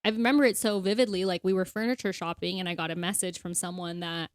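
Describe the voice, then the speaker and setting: A woman's voice: lowish voice, steady tone, fast, no room sound, studio quality. A young woman co-hosting a casual podcast, rambling with lots of fillers and frequent laughs. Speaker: podcast co-host.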